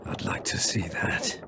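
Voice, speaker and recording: An actor playing a badly wounded, proud and bitter elf freedom fighter in a video game, weak with pain, halting, breathy and often near a whisper. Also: male voice, noisy background, lightly acted. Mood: grudging, bitter. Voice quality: gravelly, breathy, falling into whispers. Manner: halting, defiant. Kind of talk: video game cutscene acting.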